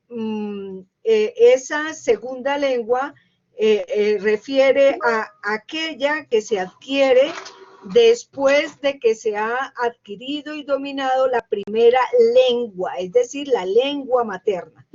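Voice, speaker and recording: Woman lecturer, higher voice, hesitant explainer, muffled online-class sound. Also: darkish tone. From Colombia. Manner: reads quickly, then explains slowly with many hesitations. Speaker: university lecturer. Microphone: computer mic on a video call. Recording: dull lo-fi online class audio.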